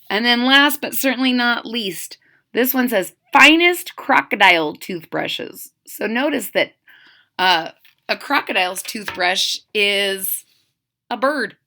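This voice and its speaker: A woman reading a children's storybook aloud as a kindergarten teacher, with expressive, explanatory asides to young listeners. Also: a little high.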